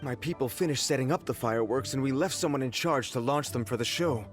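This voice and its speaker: Game role for a male actor: flustered, deferential official, medium pitch, earnest explainer, with background music. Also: fast talker, natural voice. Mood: worried. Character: anxious, deferential male festival organizer. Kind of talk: video game voice acting. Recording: music playing behind.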